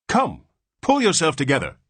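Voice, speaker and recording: A man voicing a severe, brisk female nurse in a storybook reading, firm and unbending. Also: medium pitch, wildly swooping pitch, breathy edge, dry recording, voice pushed up, heavily acted.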